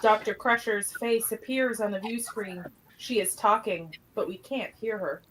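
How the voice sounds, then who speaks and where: A woman's voice: medium pitch, normal speed, a bit bright, slight background noise, low-quality recording. A woman reading scripted stage directions as narrator of an amateur radio play.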